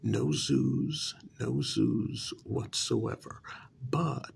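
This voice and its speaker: A man doing a soft-spoken ASMR role-play as a smooth, flattering talent agent, with a breathy, gravelly half-whisper, pausing often and repeating words soothingly. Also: mid-range voice, a little hiss or hum.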